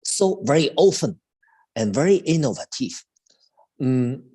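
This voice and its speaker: A man in his fifties with a Chinese accent talks slowly and haltingly, stopping to restart his sentences. His voice has a husky, slightly breathy edge. He sounds like a cello teacher chatting live online, with a mid-range pitch. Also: very animated, dark tone. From China. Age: 50s. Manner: halting, with many restarts and fillers.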